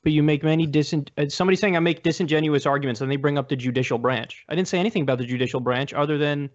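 Guy in a debate chatting really quick, lo-fi muffled sound. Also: fairly high pitch. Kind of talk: casual chat. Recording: dull lo-fi audio.

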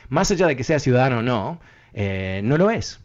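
A man's voice: medium pitch, big pitch swings, moderate pace. A man hosting a radio talk show, giving earnest commentary and thinking aloud with many hesitations and fillers. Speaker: radio host. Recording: muffled lo-fi radio broadcast.

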